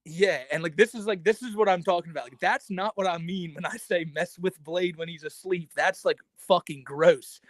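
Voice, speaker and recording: A man's voice: high voice, normal speed, few pauses, no room sound. A man co-hosting a podcast, telling stories in casual chat with lots of filler words and frequent laughter. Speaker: podcast co-host.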